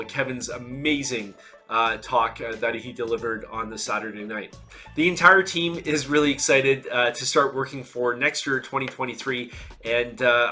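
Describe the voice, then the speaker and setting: A man's voice: mid-range voice, sharp tone. A man who runs a conference, talking casually and earnestly to camera with a stuffy nose from a cold, full of fillers and restarts. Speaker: conference organizer and host.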